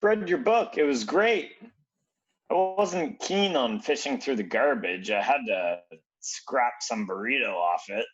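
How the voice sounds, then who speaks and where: A man's voice: medium pitch, animated, measured pace, breathy edge, highs cut off. A man reading the part of a friendly, determined teenage boy at a screenplay table read, earnest and encouraging.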